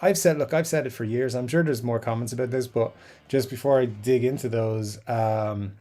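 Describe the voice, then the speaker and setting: A man's voice: mid-range voice, moderate pace, dark tone. A man hosting a guitar channel's live stream, reading viewer comments and chatting in a rambling, casual way full of fillers and restarts. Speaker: YouTube guitar channel host.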